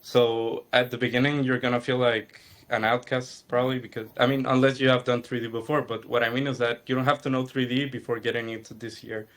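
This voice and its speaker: Flat-voiced man recounting his studies. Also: mid-range voice, a little fast, few pauses. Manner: relaxed, flowing, uses "like" and "you know". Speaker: former student sharing his experience. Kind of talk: casual explanation in a webinar.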